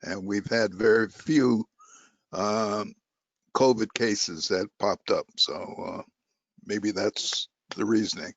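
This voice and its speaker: Halting, slow man on dull meeting audio. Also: fairly low pitch, creaky, no echo. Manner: halting, hesitant delivery. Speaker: school board member giving a district update. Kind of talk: informal meeting update.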